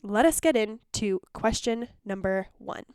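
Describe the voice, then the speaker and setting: A woman's voice: medium pitch, lively intonation, a little slow, background noise. Congested woman hosting a podcast. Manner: chatty and candid, with restarts. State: congested, phlegmy. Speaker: podcast host. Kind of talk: casual solo podcast monologue.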